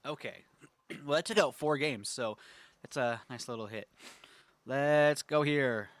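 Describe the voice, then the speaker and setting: A man's voice: medium pitch, unhurried, no room sound. Congested young man rambling about games. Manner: rambling, filler-heavy, enthusiastic. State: congested, clearing throat often. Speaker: gaming channel host. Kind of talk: casual tier-list chat.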